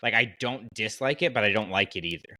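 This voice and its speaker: A man talks casually as a podcast host in a slightly low, bright voice. The sound is dry, with very little room to it. He is conversational and opinionated, working through his thoughts out loud. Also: a little fast, dry recording. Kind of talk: casual podcast chat about fiction.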